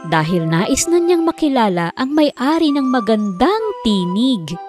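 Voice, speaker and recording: A woman narrating a scripted children's fairy tale as an expressive storyteller. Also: on the high side, unhurried, music in the background.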